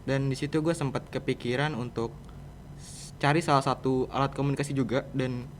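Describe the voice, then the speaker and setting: A man's voice: medium pitch, steady tone, brisk. Teenage Jakarta guy, informal, with background noise. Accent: Jakarta Indonesian. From Jakarta, Indonesia. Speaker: student guest, podcast project leader. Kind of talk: casual conversational interview answers. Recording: clear background noise, room ambience.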